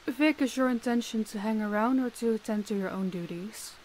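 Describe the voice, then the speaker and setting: A woman's voice: fairly high pitch, brisk, a little mellow, slight background noise, lightly acted. A woman playing a demanding, businesslike female crime boss who speaks formally and with authority in a roleplay audio drama. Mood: cool, polite.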